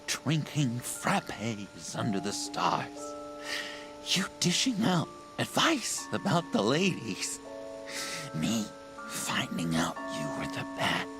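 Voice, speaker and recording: An actor playing a theatrical, unhinged, needy clown-like villain in a video game, with a creaky voice that breaks into whispers. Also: male voice, mid-range voice, wildly swooping pitch, takes it slowly, rough recording. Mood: wistful, wounded. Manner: theatrical, taunting drawl with wild swings. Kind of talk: dramatic video game voice acting. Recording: game audio under loud dramatic music.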